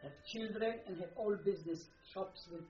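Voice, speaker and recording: An older woman from Poland with a Polish accent, speaking in an oral history interview. Her phrasing is halting and non-native, and she repeats words as she searches for them. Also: medium pitch, a little mellow, a little hiss or hum. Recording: muffled roomy archival tape.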